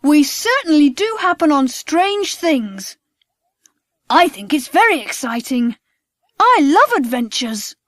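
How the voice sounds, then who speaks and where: A man puts on an adventurous schoolchild for a storybook. The voice sits far above an adult male register and moves up and down freely. It is earnest and excitable, recorded close and dry. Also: very high-pitched, takes it slowly, no room sound, voice pushed up, exaggerated voice. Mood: eager.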